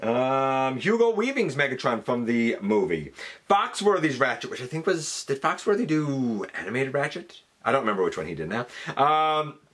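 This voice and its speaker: A male host talks through a fan countdown in a loose, conversational way. His voice sits at a medium pitch and moves up and down with lively emphasis. He thinks out loud, wandering and correcting himself with obvious enthusiasm. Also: moderate pace. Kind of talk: casual, chatty countdown commentary.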